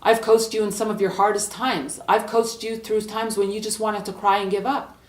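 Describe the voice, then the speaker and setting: A woman's voice: mid-range voice, steady tone, normal speed, natural voice. A woman voicing a paternal but hot-tempered male football coach, pleading in repeated questions as part of a spoken story. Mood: coaxing. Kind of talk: acted dialogue in a spoken story. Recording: echoey room, distant from mic.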